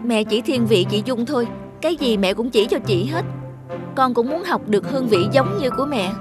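An actress playing an earnest, envious young woman who runs a noodle shop in an animated drama, speaking politely and eagerly. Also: fairly high pitch, fairly quick, close to an everyday voice. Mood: resentful. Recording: loud music under the voice.

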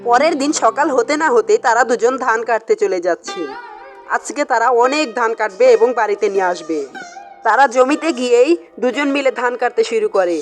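A woman's voice: a little high, expressive, a little fast. A woman narrates a cartoon folk tale. She reads in a scripted storybook style, with music playing behind her.

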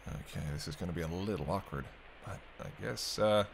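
A man's voice: low voice, fairly quick, gravelly, noisy background. A man playing a wry, teasing vampire. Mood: gentle, guiding. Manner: bantering, wry, murmured asides. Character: teasing, sardonic male vampire, centuries-weary but protective. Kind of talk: intimate roleplay audio drama, addressing the listener.

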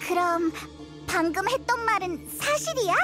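A woman voicing a tiny, curious and excitable fairy companion in a video game, with a squeaky childlike voice. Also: moderate pace. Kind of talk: video game voice acting, cute cartoonish. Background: background music.

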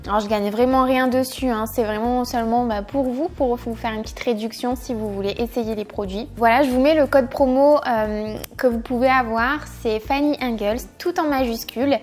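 A woman's voice: on the high side, moderate pace, hardly any pauses, a little mellow. A young adult woman, chatting casually to the camera as a vlogger. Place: small furnished room at home, later inside a parked car. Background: background music.

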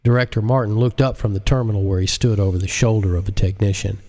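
A man with a fairly low voice reads a novel aloud as its author. He narrates like an audiobook reader and drops into casual remarks for his stream. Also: steady tone, quick pace, dark tone. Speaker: author reading own audiobook on live stream.

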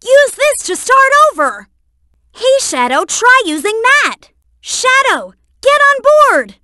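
A woman's voice: extremely high pitch, big pitch swings, takes it slowly, bright, forceful tone, dry recording, clean studio sound, full character voice. A woman voicing an eager, brainy young boy fox sidekick in a video game, earnest and helpful as they explain things. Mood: excited, urgent. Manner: earnest, instructive, explains carefully.

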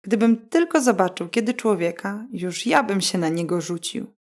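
A woman's voice: medium pitch, expressive, moderate pace, a little mellow, lightly acted. A boastful and naive storybook wolf, acted out by a woman reading aloud. He exclaims eagerly and sounds full of himself, yet simple. The style is that of a bedtime fairy tale. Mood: boastful, curious. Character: boastful, naive male wolf, later beaten and bewildered.

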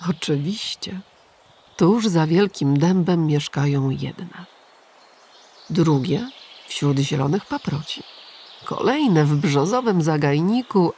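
A woman voicing a tired, kind old grey male pigeon who calmly reassures a child in a storybook. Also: deep voice, takes it slowly, slight background noise, full character voice. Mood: reassuring. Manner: calm, gentle and reassuring.

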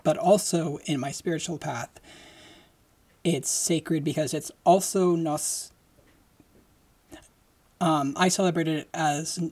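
Young adult solo podcaster, mid-pitched, slow and halting, close-mic home recording. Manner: halting, many fillers and restarts; speaks slowly with lively hand gestures. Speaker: podcast host. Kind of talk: casual solo podcast chat. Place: decorated home room, indoors. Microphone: large studio mic close in front.

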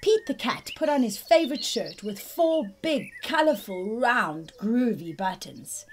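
High, lively woman reading to young children. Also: measured pace, slight background noise. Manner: expressive, questioning, addressed to young children. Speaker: co-host reading a children's picture book. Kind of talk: animated children's storybook reading. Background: music.

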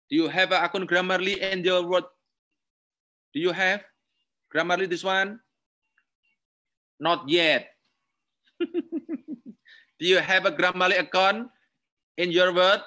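A man's voice: sits high, bright, forceful tone. A man with an Indonesian accent, a lecturer giving a webinar tutorial in simple English and saying "okay" often. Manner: slow, repetitive, punctuates with "okay"; simple non-native English. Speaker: university lecturer. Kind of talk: webinar tutorial, screen-share demonstration.

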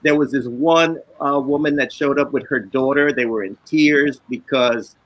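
A man's voice: mid-range voice, normal speed, fairly bright tone, no echo, poor audio. A man, an author and illustrator, telling a reflective story on a video call in a casual way. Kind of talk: panel discussion answer. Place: home room with bookshelves.

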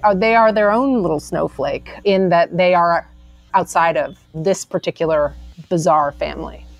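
A woman's voice: on the low side, moderate pace, no echo. A woman, a director appearing as a guest, talking reflectively and casually.

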